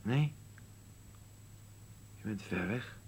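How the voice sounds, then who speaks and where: Cryptic, stubborn old husband in a radio play: deep register, lively melodic swings, terse replies. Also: male voice, slight background noise. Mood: resigned, grim. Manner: terse, flat denials, slow resigned answers. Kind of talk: absurdist radio play dialogue.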